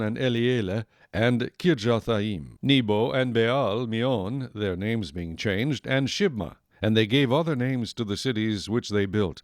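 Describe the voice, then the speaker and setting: A man narrating a dramatized audio Bible in a solemn, measured storytelling manner. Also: mid-range voice, no room sound, clean studio sound. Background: music.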